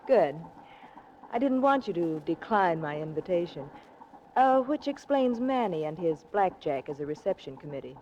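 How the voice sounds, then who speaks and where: A woman's voice: lowish voice, big pitch swings, moderate pace, a little mellow. An actress playing a cool, scheming mystery woman, poised, formal and coy, in 1940s radio drama style. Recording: muffled, noisy 1940s radio recording.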